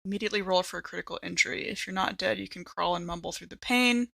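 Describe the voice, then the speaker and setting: A woman's voice: fairly low pitch, fast talker, gravelly, fairly bright tone, no room sound. A woman playing a tabletop role-playing game, talking casually and reading out rules.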